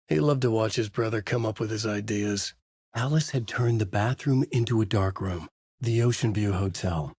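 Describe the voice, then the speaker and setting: An actor playing a troubled novelist in a horror video game, narrating his dark story in a husky, grave, deliberate noir style. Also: on the low side, no room sound, clean studio sound.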